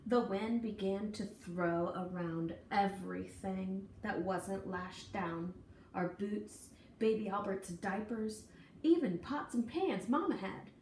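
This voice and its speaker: A woman reading a children's story aloud in an expressive, folksy, deliberate way with dramatic emphasis. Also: medium pitch. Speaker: storybook reader. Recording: echoey room, distant mic, faint noise.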